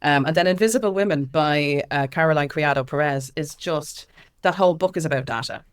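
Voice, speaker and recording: A woman's voice: deep voice, fairly quick, clear, resonant tone. An Irish woman speaking as an activist guest in a candid interview, with an emphatic, impassioned way of talking.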